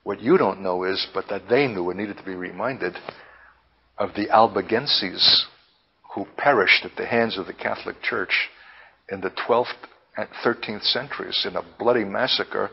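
A man preaching and teaching in a deliberate, emphatic manner with lofty wording, halting now and then to repeat himself. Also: fairly low pitch, creaky, slight background noise. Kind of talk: impassioned prophetic sermon, teaching. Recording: dull, lo-fi sermon recording.

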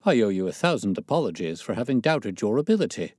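A man voicing an irascible, blustering older gentleman colonel in an audiobook, indignant and emphatic. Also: lowish voice, very animated, fast, no room sound, clean studio sound. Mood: effusive. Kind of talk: audiobook character dialogue.

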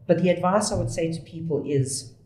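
A woman's voice: fairly low pitch, a little fast, darkish tone. A middle-aged South African woman speaking earnestly as an interview guest into a studio desk mic, often restarting her sentences. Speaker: guest, whistleblower. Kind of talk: candid conversational interview. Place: small studio room. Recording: roomy studio echo.

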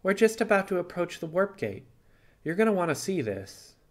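A man's voice: sits high, very animated, moderate pace, voice pushed up. A man voicing a friendly, eager, slightly flustered male animal crewman in an audiobook space story. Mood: cheerful.

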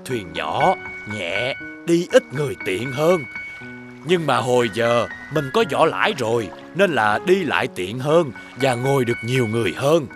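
A man's voice: mid-range voice, big pitch swings, normal speed, over music, close to an everyday voice. A man voicing a kindly, patient old village boatman in a cartoon, explaining in a southern Vietnamese accent. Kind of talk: animated cartoon dubbing.

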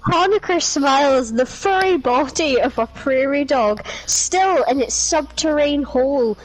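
A woman's voice: high voice, lively intonation, background noise. A woman reading an article aloud in a mock-dramatic way, slipping in sarcastic asides. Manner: slow, theatrical reading broken by giggles and swearing.

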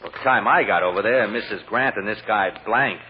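Actor as echoing, chatty witness on old radio. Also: male voice, on the low side, a little fast, natural voice. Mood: rambling, sheepish. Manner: rambling, folksy, hesitant asides. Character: chatty, earnest restaurant manager giving witness testimony. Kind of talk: old-time radio drama acting. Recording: echoing hall, muffled noisy old radio recording.

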